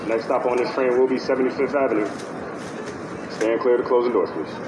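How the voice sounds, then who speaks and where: A male conductor with a mid-pitched voice makes a service announcement. He sounds far from the mic and echoey, with clear train noise around him. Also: steady tone, a little fast. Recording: echoey, distant, noisy train PA. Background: subway train noise.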